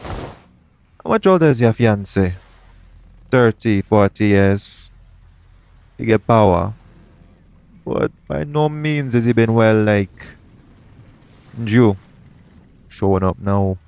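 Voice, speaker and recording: A man acting a shrewd, smug pirate captain in an audio roleplay, with a taunting, deliberate, persuasive way of talking. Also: on the low side, a little mellow, a little hiss or hum.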